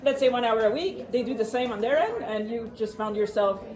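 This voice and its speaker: A woman with a French accent gives an informal live workshop as presenter, talking quickly and chattily with self-deprecating humor. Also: on the high side, animated, darkish tone, rough recording.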